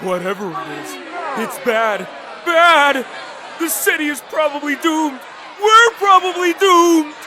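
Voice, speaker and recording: An actor voicing a gloomy, panicky young student in a comic dub, fretting in a drawn-out, melodramatic way over noisy ambience. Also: high-pitched, lively intonation, a crowd in the background. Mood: panicked gloom. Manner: fretful, melodramatic, drawn-out doomsaying. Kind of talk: animated-style comic dub acting.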